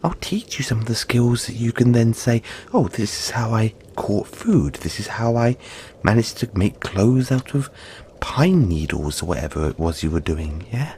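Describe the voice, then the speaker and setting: A man voicing a gentle, cuddly young yeti in a soft-spoken, hesitant, soothing roleplay addressed to the listener. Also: lowish voice, lively intonation, normal speed, a little hiss or hum. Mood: encouraging, musing.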